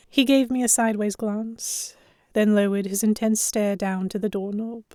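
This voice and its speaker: A woman narrating a first-person historical romance story with careful, expressive, scripted delivery. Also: mid-range voice, no echo, studio quality. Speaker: narrator and lead heroine.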